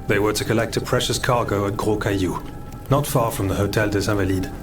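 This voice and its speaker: Noble French general, male actor: low, weary, honourable, a little off-mic under loud game score. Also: fairly even intonation, a little fast, lightly acted. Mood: grave, worried. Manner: grave, weary, formal. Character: grief-stricken noble French revolutionary general, grave and honourable. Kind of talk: video game voice acting. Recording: slightly distant, loud music behind.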